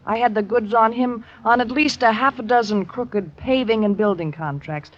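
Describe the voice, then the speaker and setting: A woman's voice: fairly high pitch, moderate pace, lightly acted. An actress playing a cool, scornful, triumphant woman in a 1950s radio drama. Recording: dull old radio recording.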